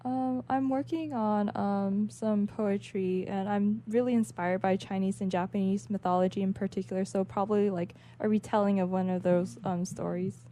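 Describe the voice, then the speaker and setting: A woman's voice: mid-range voice, fairly even intonation, normal speed, hardly any pauses, warm tone, slight background noise. A woman speaking as a guest writer in a casual radio interview, giving hesitant, agreeable replies.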